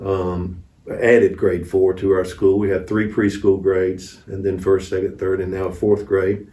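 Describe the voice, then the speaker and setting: Low voiced guy telling folksy stories in an interview, roomy and kinda far from the mic. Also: level tone, a little slow, mellow. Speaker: interview guest, missionary preacher. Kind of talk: conversational storytelling. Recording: echoey room, distant mic.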